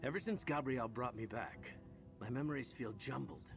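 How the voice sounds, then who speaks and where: Wry roguish hero knight from a video game, medium pitch, chatty, music underneath. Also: male voice, moderate pace, creaky, fairly bright tone. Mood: wry, troubled. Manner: wry, conversational. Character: wry, roguish heroic knight, troubled but joking. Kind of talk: scripted game dialogue.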